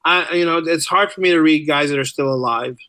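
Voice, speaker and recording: A man speaking casually as a podcast guest, telling stories in an animated, rambling way. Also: on the high side, a little fast, dry recording. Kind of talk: casual interview chat.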